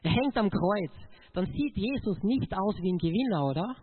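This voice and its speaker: High-pitched Austrian man preaching, phone-like sound. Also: expressive, moderate pace. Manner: emotional, emphatic, addresses listeners directly. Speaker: motivational speaker giving a testimony.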